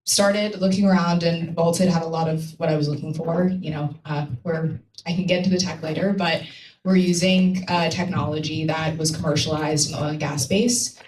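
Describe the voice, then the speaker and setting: A woman's voice: sits low, fairly even intonation, moderate pace, a little mellow. A woman explaining things conversationally as a guest on a panel, with casual asides. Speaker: panel guest, climate company employee. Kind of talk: casual spoken panel talk. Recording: echoey room, distant mic, faint noise.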